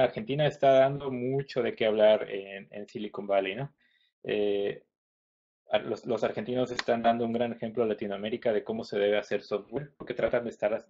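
A man's voice: lowish voice, a little mellow. A Mexican man, likely in his thirties, speaking at an online conference with many fillers, restarts and stops. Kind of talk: webinar presentation. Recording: dull lo-fi webinar audio.

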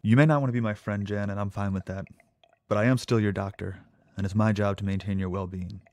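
An actor playing a timid, nebbish, lovestruck male lab assistant in an audio drama, speaking in a halting, earnest stammer. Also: fairly low pitch, fairly even intonation, darkish tone, lightly acted. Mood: hurt, dutiful.

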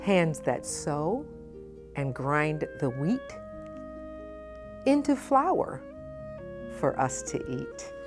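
A middle-aged woman presenting a children's storytime, speaking expressively and with deliberate phrasing for young listeners. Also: low-pitched, big pitch swings, music in the background. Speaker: guest storytime reader, nonprofit president. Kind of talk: children's picture book read-aloud. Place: indoor storytime set at a library.

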